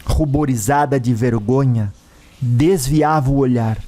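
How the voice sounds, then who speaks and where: A man's voice: mid-range voice, a little slow, mellow. A man with a Brazilian accent giving a scripted, dramatic narration of a story.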